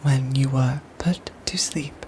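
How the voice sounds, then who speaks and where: A woman playing a calm, protective android soldier in an ASMR audio roleplay, speaking softly and breathily in formal, measured, robotic phrases. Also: extremely low pitch, a little hiss or hum. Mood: formal, matter-of-fact. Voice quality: soft, breathy, whispery.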